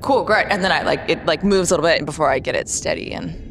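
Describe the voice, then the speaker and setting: A woman chatting casually as a tabletop game player, thinking aloud in halting bursts. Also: sits low, gravelly, slight background noise.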